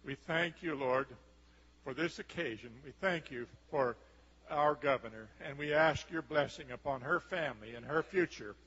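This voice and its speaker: Older pastor praying in a church hall. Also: male voice, a little high, fairly bright tone. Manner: measured, solemn praying. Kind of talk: prayer. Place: large church sanctuary stage. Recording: lo-fi camcorder recording in a reverberant hall.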